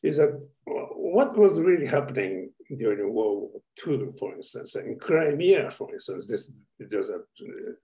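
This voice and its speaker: A man in a seminar on a video call who asks long questions in a halting way, with many restarts and fillers. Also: medium pitch, big pitch swings, mellow, poor audio. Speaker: audience member asking questions.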